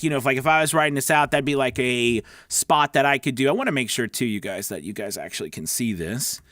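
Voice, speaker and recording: A man's voice: on the high side, fairly bright tone, dry recording. Casual male voice-over coach, dry close sound. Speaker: voice-over coach and show host. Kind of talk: casual tutorial talk with demo script reads.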